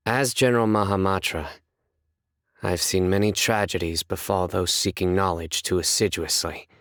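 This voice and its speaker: An actor playing a stern, composed young male judge in a video game, speaking in a measured, serious, deadpan way. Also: sits low, no echo, clean studio sound. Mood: reflective. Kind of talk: scripted game character voice lines.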